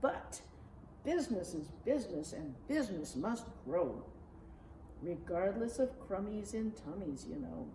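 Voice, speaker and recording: Woman reading a storybook, slow and animated. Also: on the low side. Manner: measured, dramatic. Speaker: storybook reader. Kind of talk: expressive read-aloud of a rhyming children's story. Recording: roomy, distant mic, faint noise.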